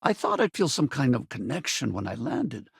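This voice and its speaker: A man playing a middle-aged, weary but loyal spaceship crewman and ex-soldier in a video game, plain-spoken and wry, with a gravelly, husky voice. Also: mid-range voice, very animated, moderate pace, no room sound, clean studio sound, exaggerated voice. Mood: reflective. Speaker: video game companion character. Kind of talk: naturalistic video game dialogue.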